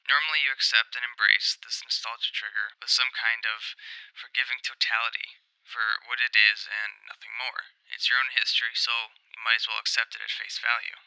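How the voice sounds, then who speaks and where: A man in his twenties reading a scripted music review essay in a measured, articulate, reflective way. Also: medium pitch, fairly even intonation, gravelly, sharp tone, clean tone, no echo, low-quality recording. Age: 20s.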